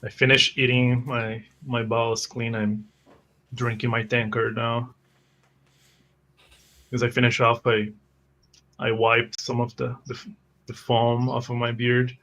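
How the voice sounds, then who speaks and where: A man improvising as a tabletop player, halting and earnest, with formal wording. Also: medium pitch, level tone, a bit bright, a little hiss or hum. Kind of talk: improvised roleplay, describing his character's actions.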